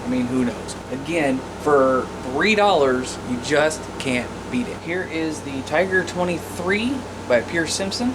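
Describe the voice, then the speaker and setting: A man's voice: on the high side, animated, a little slow, noisy background. An American man giving a casual, plain-spoken hands-on gear demonstration as an informal presenter. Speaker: radio hobbyist presenter.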